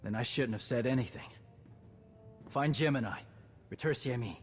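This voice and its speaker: An actor playing a proud, loyal young warrior who speaks earnestly. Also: male voice, medium pitch, normal speed, big-room sound, soft background music, lightly acted.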